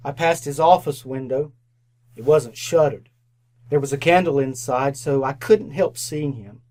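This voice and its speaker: An actor playing a husband sharing gossip with his wife in a measured, storytelling way, in radio drama style. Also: mid-range voice, a little mellow, lightly acted. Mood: teasing, then pitying. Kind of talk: old-time radio drama acting.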